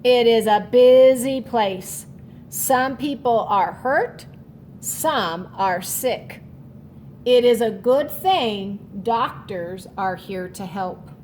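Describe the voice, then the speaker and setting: A woman's voice: fairly high pitch, very slow, a little mellow, a little hiss or hum. A woman reading a children's storybook aloud like a teacher, adding short explanatory asides. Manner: instructive, checks understanding with short asides.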